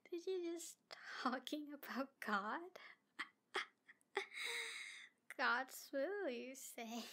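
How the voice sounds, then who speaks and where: A woman voicing a jealous, lovesick female demon in an ASMR roleplay, halting and breathy, often slipping toward a whisper. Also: high voice, a bit bright, exaggerated voice. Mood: mocking, hurt. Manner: breathy, halting delivery with whispered phrases and giggles. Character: jealous, obsessive female demon in love, taunting and wounded. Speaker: audio roleplay performer.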